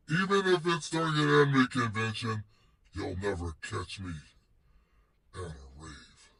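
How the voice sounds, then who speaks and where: A man hosting his own web series, talking casually to fans in slangy, boastful, drawn-out emphatic phrases, with a gravelly, husky voice. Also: lowish voice, distant mic, rough recording. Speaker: host of a personal web series. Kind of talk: casual solo rant to fans.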